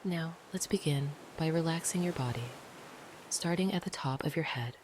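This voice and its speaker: A gentle, breathy, often whispering meditation guide speaking slowly and calmly, with long pauses between phrases. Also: mid-range voice. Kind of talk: slow, soothing guided meditation narration. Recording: noisy, ambient sound behind the voice.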